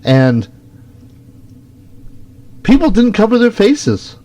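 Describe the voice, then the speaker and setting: A man's voice: high voice, wildly swooping pitch, slow pace, mellow. A man in his fifties giving casual, opinionated political commentary as a talk show co-host.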